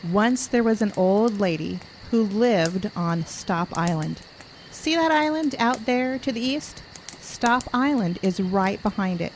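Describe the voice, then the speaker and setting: A woman playing a sensible adult camp leader in an audio drama, practical and steady. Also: mid-range voice, unhurried, a little hiss or hum, natural voice, poor audio. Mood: storytelling.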